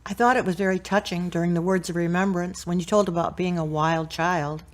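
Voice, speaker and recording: A woman playing a supportive, gently teasing friend in a radio drama. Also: on the low side, fairly even intonation, brisk, close to an everyday voice. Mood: sympathetic.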